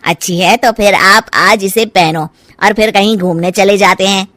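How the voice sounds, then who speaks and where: A woman's voice: mid-range voice, fairly even intonation, moderate pace, a bit bright, no room sound, natural voice. A woman voicing a self-centred, jealous grown son in a cartoon story, brusque and insistent. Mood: ingratiating. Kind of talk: cartoon family story dialogue.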